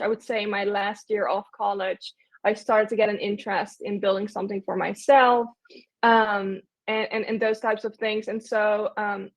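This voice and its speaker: A young woman from the Netherlands, chatting casually as an interview guest, with lots of fillers and restarts. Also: mid-range voice. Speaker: guest, agency owner.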